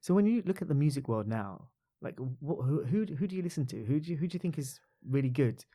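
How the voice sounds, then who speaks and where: A man's voice: a little high, animated, quick pace, mellow. A man hosting a podcast, chatting casually and hesitantly with many fillers and restarts. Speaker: podcast host. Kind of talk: casual interview chat.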